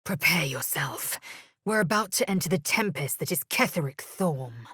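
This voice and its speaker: A woman voicing a fierce, proud githyanki warrior woman in a video game, with a husky voice and clipped, imperious, formal speech. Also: low voice, animated, moderate pace, pushed and bright, no echo, clean studio sound, full character voice. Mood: solemn resolve. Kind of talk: video game character voice acting.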